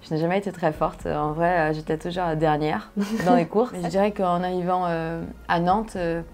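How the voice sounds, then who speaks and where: A young woman from Brazil, a native Portuguese speaker with a Brazilian accent, telling her story conversationally and haltingly as she searches for words. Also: fairly low pitch, fairly even intonation, darkish tone. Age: young adult. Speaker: interview guest, solo sailor.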